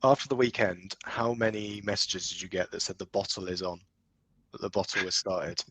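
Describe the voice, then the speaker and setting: A man's voice: low-pitched, normal speed, fairly bright tone, husky, rough recording. A man co-hosting a football podcast, chatty and teasing in casual talk. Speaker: podcast co-host. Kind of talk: casual chat.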